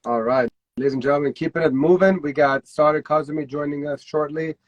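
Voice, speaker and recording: A man's voice: on the high side, steady tone, a little fast, dry recording, dull audio. Upbeat male talk show host, dry sound. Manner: upbeat, encouraging, thanks guests often. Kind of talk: live-stream talk show hosting.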